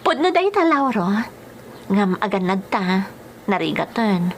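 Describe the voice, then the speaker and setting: A woman's voice: medium pitch, sing-song, a little slow, a little hiss or hum. An actress playing a cautious, anxious migrant housemaid and mother in a radio drama, earnest, with a Filipino accent. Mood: cautious, worried.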